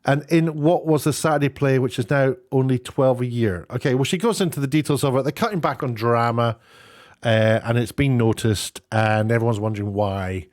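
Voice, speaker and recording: A man giving casual, off-the-cuff commentary in a halting, rambling way, often breaking off to rephrase. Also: medium pitch, darkish tone, dry recording, studio quality. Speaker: online commentator, former broadcast worker.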